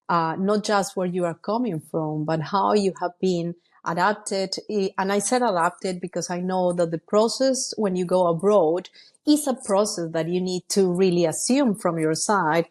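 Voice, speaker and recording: A woman with a fairly low voice hosts a podcast. She asks her questions in a searching way and often restarts her phrases. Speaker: podcast host. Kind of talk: interview questions.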